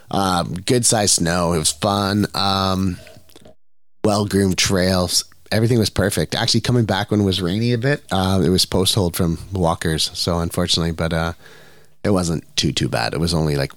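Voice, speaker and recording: A man hosting his own podcast, stuffed up with a cold, talking in a relaxed, rambling way with lots of fillers. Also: deep voice, dry recording, clean studio sound. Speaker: podcast host. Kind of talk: casual solo podcast monologue.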